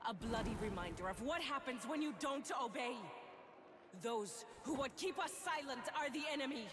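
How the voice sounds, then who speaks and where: A woman acting a fierce Mandalorian rebel leader in a video game, speaking in grave, declamatory phrases to rally warriors. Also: sits high. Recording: noisy game scene with crowd behind.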